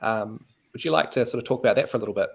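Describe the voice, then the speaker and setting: Fast-talking gravelly male podcast host. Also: medium pitch. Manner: hesitant, many fillers and hedges. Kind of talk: casual podcast discussion.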